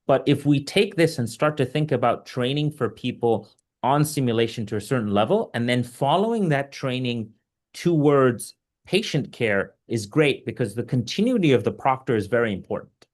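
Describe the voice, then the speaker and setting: A man's voice: medium pitch, lively intonation, moderate pace. A man who grew up in Egypt, speaking as an invited surgical expert, giving earnest, emphatic explanatory answers in a webinar question session.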